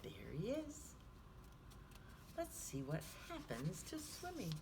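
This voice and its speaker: A woman hosting children's storytime, reading a picture book aloud in an animated, sing-song manner. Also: high voice, slow pace, noisy background. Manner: animated, sing-song, with hushed asides to listeners.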